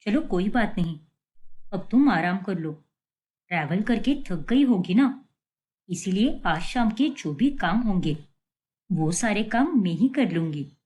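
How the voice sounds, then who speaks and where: A woman voicing a patient, kind sister-in-law with a gentle, encouraging manner. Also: mid-range voice, expressive, unhurried, voice pushed down, close to an everyday voice.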